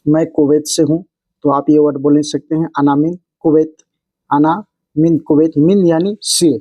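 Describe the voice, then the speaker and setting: Mellow, higher-pitched man teaching phrases. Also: no room sound. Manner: repetitive, explanatory, phrase-by-phrase delivery. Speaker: language teacher and video host. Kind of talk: instructional lesson with repeated example phrases.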